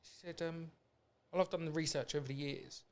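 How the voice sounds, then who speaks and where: A man's voice: on the high side, steady tone, a little fast, darkish tone. A British man hosting a podcast in a casual, rambling, anecdotal way. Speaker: podcast host. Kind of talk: casual chat.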